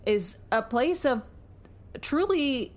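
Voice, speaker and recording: A woman's voice: sits high, lively intonation, takes it slowly. An effusive American woman chatting casually. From New York, United States. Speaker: podcast co-host. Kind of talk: casual podcast interview chat.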